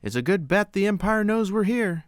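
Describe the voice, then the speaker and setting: Man doing a brash, snappy space pilot, raised pitch. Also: animated, moderate pace, no room sound, studio quality. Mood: urgent, decisive. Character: brash, confident male rebel pilot and smuggler.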